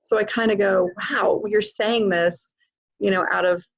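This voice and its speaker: A woman's voice: medium pitch, fairly even intonation, unhurried, lo-fi sound. A woman hosting an interview, speaking emphatically and addressing her listeners directly. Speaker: host. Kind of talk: interview, spiritual coaching chat.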